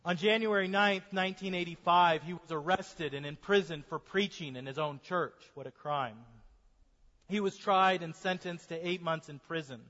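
Man, pastor, high voice, earnest preaching, dull recording. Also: sharp tone. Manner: earnest preaching, slows for emphasis. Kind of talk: sermon. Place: church sanctuary. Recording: dull sermon recording in a reverberant church.

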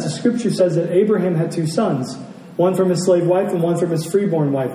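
Male pastor, high-pitched and mellow, delivering an earnest sermon with deliberate repetition. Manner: earnest, repeats key phrases for emphasis. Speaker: pastor preaching. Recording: roomy, distant pickup with faint noise.

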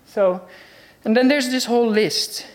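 A woman's voice: on the high side, measured pace, low-quality recording. Dutch-accented woman preaching earnestly. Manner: earnest, conversational preaching with rhetorical questions. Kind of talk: sermon.

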